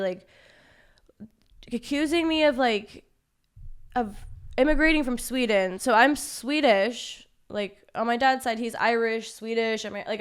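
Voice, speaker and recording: Young woman podcast guest, clear and smooth, casual and candid. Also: mid-range voice. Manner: casual, many fillers, laughs things off. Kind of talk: candid conversational interview.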